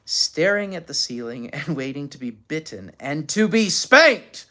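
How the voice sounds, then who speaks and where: A woman voicing an exasperated, dryly reasoning father in a children's storybook, loud and mock-stern. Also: extremely low pitch, lively intonation, normal speed.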